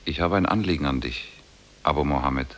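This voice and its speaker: Spirit in ape form, bottomless bass, formal, measured and commanding, vintage radio play. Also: male voice, fairly bright tone, slight background noise. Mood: solemn, bargaining. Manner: measured, solemn, formal storybook speech. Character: male spirit in ape's shape, solemn and commanding. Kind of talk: 1950s German radio play acting.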